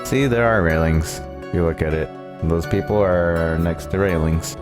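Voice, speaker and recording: A man with a low voice streams a game and comments casually on it. The game's music is clearly heard behind his talk. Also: normal speed. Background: video game music.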